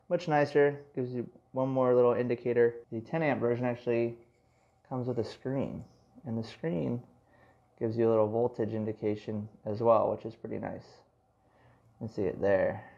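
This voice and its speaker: A man's voice: medium pitch, fairly even intonation, normal speed, gravelly, long pauses, highs cut off. A man giving a casual hands-on product review indoors at home, explaining in a conversational way with many asides.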